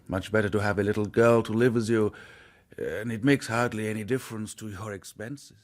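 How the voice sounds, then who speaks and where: An actor playing an unbothered, worldly local official asking about a death in a radio drama. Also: male voice, on the low side, fairly even intonation, normal speed, natural voice. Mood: matter-of-fact, cynical.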